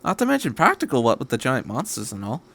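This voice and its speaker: A man voicing a quirky, cheerful, curious time-traveling adventurer in a story reading, with a brisk, rambling delivery. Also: medium pitch, wildly swooping pitch, vocal fry, fairly bright tone, exaggerated voice. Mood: delighted. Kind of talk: audiobook-style story reading.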